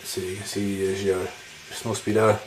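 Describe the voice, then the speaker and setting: A man's voice: fairly low pitch, level tone, normal speed, low-quality recording. A man in his thirties giving a rambling, informal, mildly sweary chat to camera as a game collector in a small living room. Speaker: video game collector vlogger. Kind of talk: casual chat showing game pickups to camera. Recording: background noise, room ambience.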